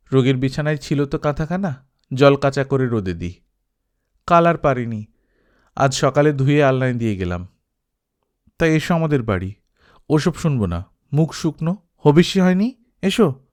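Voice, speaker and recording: A man's voice: on the high side, darkish tone, close to an everyday voice. A man voicing a caring, insistent village woman in an audiobook, chatty and homely like an elder sister.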